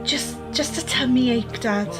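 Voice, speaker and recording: A woman's voice: a little high, measured pace, music in the background, lightly acted. A young woman acting a defiant, exasperated grown daughter in a radio play. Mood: concerned, probing.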